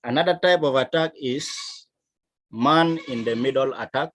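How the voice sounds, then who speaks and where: A man from South Sudan with a South Sudanese accent, teaching a lesson in a deliberate, emphatic manner. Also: medium pitch. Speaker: instructor. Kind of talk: digital literacy lesson, explaining to learners.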